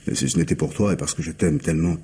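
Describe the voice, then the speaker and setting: An actor playing a weary, evasive man of forty, an anxious and loving husband who speaks haltingly and pleadingly in an old French radio mystery. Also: a bass voice, dark tone, a little hiss or hum. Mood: weary, tender. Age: 40s.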